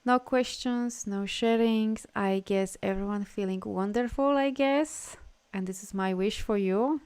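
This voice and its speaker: Woman, energy healer on a live stream, effusive and chatty, smooth clear voice. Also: medium pitch, measured pace, a little mellow. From Poland. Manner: effusive, gushing praise and frequent laughter. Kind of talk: casual spiritual live stream.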